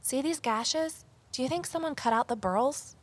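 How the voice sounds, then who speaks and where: An actress playing an inquisitive amateur sleuth woman in an audio drama, expressive and pointed in her delivery. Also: sits high, normal speed, slight background noise, lightly acted. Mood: curious, suspicious. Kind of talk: full-cast audio drama acting, narration and dialogue.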